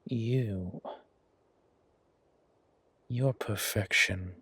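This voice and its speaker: A man voicing an ancient, urbane vampire father, doting and predatory, with theatrical pauses in a horror audio drama. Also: lowish voice, sharp tone, slight background noise, heavily acted. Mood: tender, musing. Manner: slow, savoring, theatrical delivery with long pauses.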